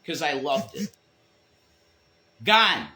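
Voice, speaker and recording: A man's voice: a little high, drawn-out words. A man co-hosting a casual livestream, bantering emphatically with frequent swearing. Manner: emphatic, profane, lively banter. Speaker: streamer co-host.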